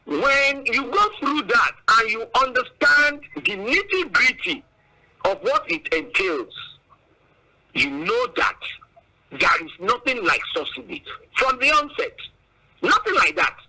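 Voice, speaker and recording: A man with a Nigerian accent giving emphatic, oratorical political commentary as an interview guest, deliberately repeating phrases for weight. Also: very high-pitched, very animated, sharp tone, low-quality recording. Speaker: studio guest analyst.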